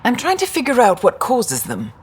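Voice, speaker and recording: Wry, animated stranded scientist woman. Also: on the high side, moderate pace, husky, full character voice. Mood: keen, explanatory. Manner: wry, expressive. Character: stranded female field scientist, wry, brisk and enthusiastic. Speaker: scientist character. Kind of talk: video game voice acting.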